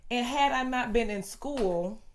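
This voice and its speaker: A woman's voice: on the high side, measured pace. Blunt woman in her late thirties. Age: 30s. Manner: blunt, laughs along. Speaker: podcast co-host. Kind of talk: casual podcast banter.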